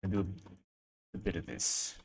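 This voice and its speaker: A young man from Indonesia, chatting casually on a livestream while he works, often mumbling to himself and trailing off. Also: normal speed. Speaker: streamer.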